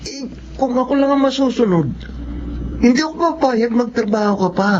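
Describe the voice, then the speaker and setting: Muffled, worried young Filipino husband. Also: male voice, very high-pitched, moderate pace, background noise. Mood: disapproving. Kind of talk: radio drama acting.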